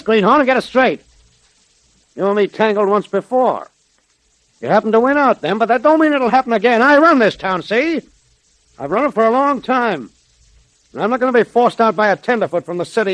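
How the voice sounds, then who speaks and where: A man's voice: high-pitched, no echo, rough recording. An actor playing a bullying, blustering gang boss with slangy tough-guy talk in a 1930s radio drama. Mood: menacing. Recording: vintage 1930s radio recording.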